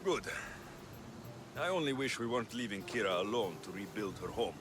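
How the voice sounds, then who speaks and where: An actor playing a young Greek mercenary hero in a game cutscene, steady and resolute. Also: male voice, on the low side, moderate pace, noisy background, natural voice. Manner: steady, rising to rousing shouts. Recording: game cutscene with music behind.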